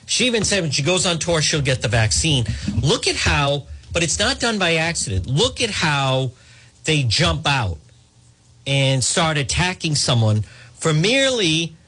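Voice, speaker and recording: Man with lively, swooping commentary delivery. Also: on the high side, breathy edge. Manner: halting, repetitive, addresses listeners as folks. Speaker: talk show host. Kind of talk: opinionated off-the-cuff political commentary.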